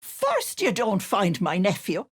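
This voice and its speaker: A woman's voice: mid-range voice, huge pitch swings, measured pace, breathy edge, no room sound, clean studio sound, heavily acted. A woman voicing a sly, syrupy older auntie witch in a video game, cooing endearments with an edge of menace. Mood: wheedling, sly. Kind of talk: video game character voice lines.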